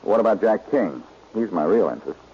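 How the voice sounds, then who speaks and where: Glib, confident sleuth, low mellow voice, 1940s radio style, dull vintage recording. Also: male voice, lively intonation, brisk, slightly rough, full character voice. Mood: thoughtful, assured. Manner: glib, teasing. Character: wisecracking, confident amateur detective, adult man.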